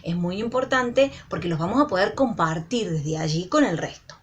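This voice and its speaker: A woman's voice: fairly low pitch, expressive, normal speed, dull audio, slight background noise. A woman with an Argentine accent explaining an assignment to students as their teacher. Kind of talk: instructional presentation to students.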